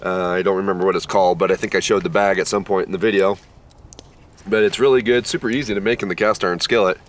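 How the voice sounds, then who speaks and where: A man in his thirties vlogging at an outdoor campsite, talking in a slow, worn-out, easygoing way after being sick. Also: low voice, fairly even intonation, slight background noise. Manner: laid-back, tired, unhurried with many fillers. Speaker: camping vlogger. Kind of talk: casual camp vlog talk to camera. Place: outdoor pine forest campsite.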